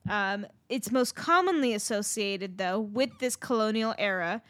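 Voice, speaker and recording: A woman's voice: medium pitch, measured pace, fairly bright tone, dry recording. A young woman, co-hosting a podcast and telling a legend in a chatty way with lots of ums. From Southern California. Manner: chatty, many ums, swearing. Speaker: podcast co-host. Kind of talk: casual storytelling chat.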